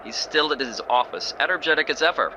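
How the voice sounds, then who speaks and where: A man's voice: a little high, lively intonation, quick pace, highs cut off, loud music behind the voice, natural voice. A man playing a cheerful, oddly oblivious male biologist. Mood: chipper.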